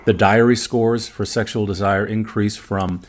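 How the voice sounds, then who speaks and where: A man with a fairly low voice, a native German speaker, speaks as a medical expert on a podcast. He explains study findings informally, in a measured way, qualifying his points as he goes. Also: fairly even intonation. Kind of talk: informal evidence review in conversation.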